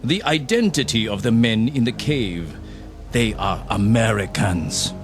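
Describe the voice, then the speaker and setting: An actor playing a ruthless, contemptuous Japanese army captain in a radio drama, commanding and cold, with deliberate, emphatic phrasing. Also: male voice, fairly low pitch, expressive. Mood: cold, lecturing. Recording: music under the voice.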